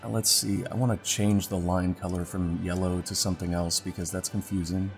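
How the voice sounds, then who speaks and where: A congested man giving casual gameplay commentary as a let's play host, relaxed and thinking aloud as he clicks. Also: low voice, fairly quick. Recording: background music under voice.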